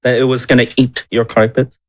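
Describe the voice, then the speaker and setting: A man's voice: mid-range voice, level tone, fairly quick. A man playing a tabletop role-playing game, trading casual in-character banter with the group.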